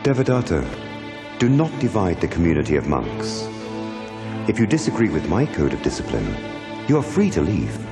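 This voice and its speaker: A man voicing a deep, echoing serene spiritual master. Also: huge pitch swings, heavily acted. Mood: stern, calm. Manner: solemn, measured, authoritative. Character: calm, wise enlightened male spiritual teacher, firm and compassionate. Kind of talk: animated religious drama dialogue. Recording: echoey hall reverb, music behind.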